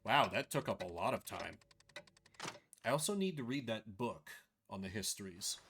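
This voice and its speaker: A man's voice: lowish voice, animated, normal speed, vocal fry, fairly bright tone, a little hiss or hum. A man giving casual commentary as he streams his playthrough of a game.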